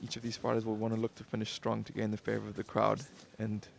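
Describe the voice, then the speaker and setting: A male color commentator giving quick analysis of a live grappling match. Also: on the low side, monotone, gravelly, slight background noise.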